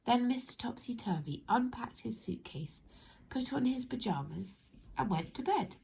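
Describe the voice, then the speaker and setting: A woman narrating a children's story expressively, in measured phrases that speak directly to young listeners. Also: fairly high pitch, lively intonation, creaky, darkish tone, a little hiss or hum. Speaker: storybook reader.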